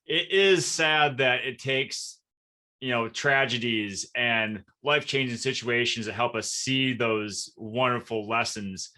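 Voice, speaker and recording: A man with a bright, forward voice at a middling pitch hosts a podcast interview. He talks conversationally, with an earnest and encouraging manner. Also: slow. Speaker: podcast host.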